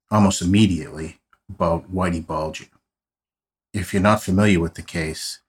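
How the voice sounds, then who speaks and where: Boston-accented male podcast host, forties, very low voice, dry wry delivery. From South Boston, USA. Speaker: podcast host, private investigator. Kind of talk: scripted podcast narration.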